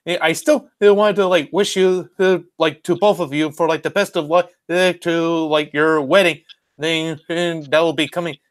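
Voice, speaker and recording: A podcast host chatting casually in an unscripted interview, halting and hesitant, circling back on phrases. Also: medium pitch, fairly even intonation.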